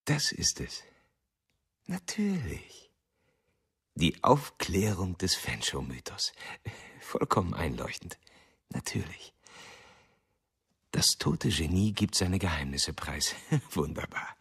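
Pushy literary agent in a radio play, low husky voice. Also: male voice, sing-song, slow pace, pauses often, a bit bright, full character voice. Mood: excited, persuasive. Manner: pressing, emphatic, repetitive. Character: insistent, businesslike male literary agent, eager and persuasive. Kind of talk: radio drama dialogue.

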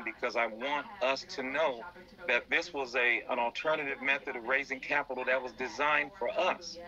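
Male interview guest, very bright forceful voice, earnest and halting, on a dull lo-fi feed. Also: medium pitch. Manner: halting, repeats words. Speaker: interview guest, business founder. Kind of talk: earnest explanatory interview talk.

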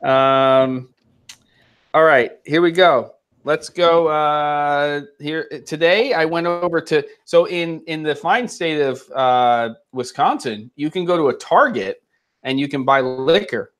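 A man in his forties hosting a relaxed, joking chat over a video call from a room at home. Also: fairly high pitch, slow, clean tone. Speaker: host. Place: home room with curtains and lamp.

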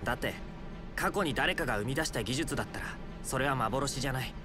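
An actor playing a thoughtful, earnest young swordsman in a Japanese video game, speaking in a gentle, reflective way. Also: medium pitch, expressive, quick pace, close to an everyday voice. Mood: earnest, determined. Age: young adult.

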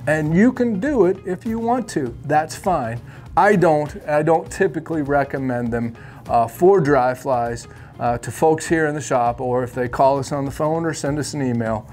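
A man's voice: fairly high pitch, moderate pace, a little mellow, faint music behind. A middle-aged man giving a casual, opinionated fly fishing tutorial to camera in a shop studio, with plenty of ums and uhs, on a lapel mic.

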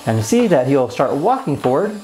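A man's voice: mid-range voice, sing-song, a little fast, dark tone, a little hiss or hum, rough recording. A young man hosting a casual product demonstration as a toy reviewer. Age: young adult. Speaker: tech toy reviewer and host.

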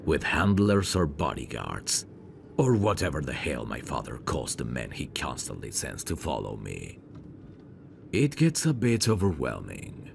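Man playing very deep, gravelly suave mafia heir. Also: noisy background, exaggerated voice. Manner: smooth-talking, courtly, flirtatious. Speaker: voice actor. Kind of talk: ASMR roleplay, intimate one-sided dialogue.

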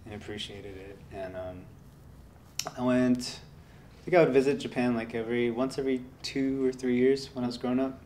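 A man in his thirties talking about his life in a relaxed interview, soft-spoken and rambling with lots of fillers. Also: medium pitch, moderate pace, slight background noise. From United States. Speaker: interviewee, guitarist. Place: indoor workshop studio.

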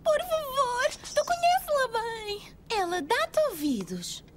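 Woman as squeaky, pleading young theatre worker. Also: very animated, slow pace, very noisy, full character voice. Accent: European Portuguese. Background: loud music.

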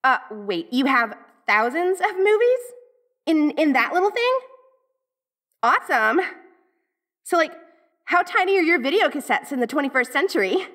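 A woman's voice: high-pitched, big pitch swings, normal speed, long pauses, fairly bright tone. A woman playing a dorky tsundere ghost girl from the eighties in an audio roleplay, with an American valley-girl accent and a gushing, hesitant, slangy manner. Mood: curious, incredulous. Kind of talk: audio roleplay acting, speaking directly to the listener.

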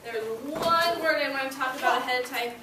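A woman's voice: high voice, brisk. A young woman teaching small children in a classroom, encouraging them and giving simple, short instructions. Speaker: elementary school teacher. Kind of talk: classroom read-aloud lesson for young children. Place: school classroom, indoors. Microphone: far camera. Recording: echoey classroom, distant camera, loud room noise.

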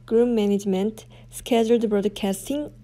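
A woman's voice: mid-range voice, fairly even intonation, moderate pace, warm tone. Woman narrating a product demonstration, formal.

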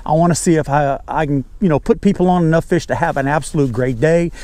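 Higher-pitched older Southern man, folksy. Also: fast, darkish tone. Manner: folksy, repeats key words for emphasis. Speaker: fishing guide. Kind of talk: informal instructional talk. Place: outdoors on a bass boat on a lake.

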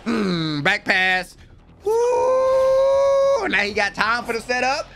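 A young man doing excited live reaction commentary, slangy and exclamatory, repeating words, on a close mic in a small room at home. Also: very high voice, slow, background noise. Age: young adult. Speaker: anime reaction host. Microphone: close desk microphone with headset on.

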